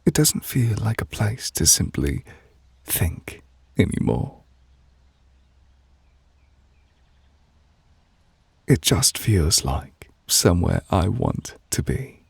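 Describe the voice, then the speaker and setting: A man playing a gentle, refined, possessive witch in love, speaking breathy and hushed in an intimate ASMR romance roleplay. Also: low voice, sing-song, slow, no echo, full character voice. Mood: wistful, tender. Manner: gentle, refined, wistful, formal phrasing. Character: soft-spoken, tender, possessive male witch in love.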